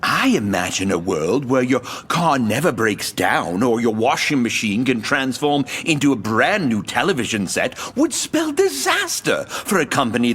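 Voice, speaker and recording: A proud, suspicious male inventor in an audio drama. His delivery is theatrical and insinuating, swooping widely in pitch. The voice has a slightly rough, husky edge. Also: a bit bright, full character voice. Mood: musing, insinuating.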